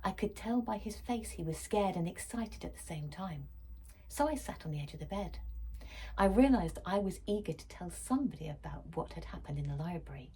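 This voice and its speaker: A woman's voice: on the low side, animated, brisk, warm tone, husky, low-quality recording. A woman reading a children's story aloud expressively, as for a school library.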